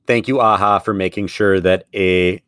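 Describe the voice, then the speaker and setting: Chatty man with a low voice. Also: steady tone, moderate pace, fairly bright tone, clean tone. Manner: chatty, laughs often. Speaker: podcast co-host; middle school teacher. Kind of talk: casual sports podcast chat.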